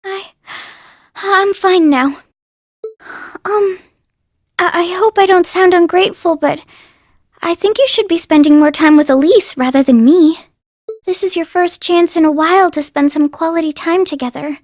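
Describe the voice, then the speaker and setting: An actress playing a sweet, refined teenage princess in an anime game dub, with genteel, formal phrasing. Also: female voice, very high-pitched, normal speed, no echo, clean studio sound. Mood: distressed, faltering. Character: sweet, poised teenage princess putting on a brave face.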